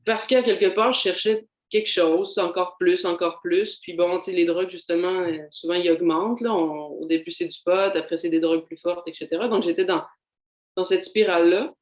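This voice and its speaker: A young adult woman with a Québécois accent gives a candid, reflective personal testimony, pausing often to find her words. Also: on the low side, fairly even intonation, reverberant. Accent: Québécois French. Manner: candid, reflective, halting with frequent fillers. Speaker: doctoral student giving a faith testimony. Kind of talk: personal testimony in a video seminar.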